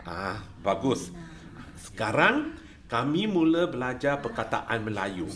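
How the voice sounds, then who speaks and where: A man's voice: mid-range voice, very animated. A man acting a patient, easily exasperated language teacher in an amateur radio play. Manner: slow, repetitive, instructing. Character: patient but easily exasperated male Malay-language night class teacher. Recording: echoey room, loud classroom crowd.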